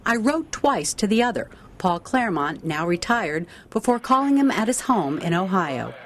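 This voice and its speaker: A woman's voice: mid-range voice, very animated, normal speed. A woman reporter delivering scripted investigative news narration.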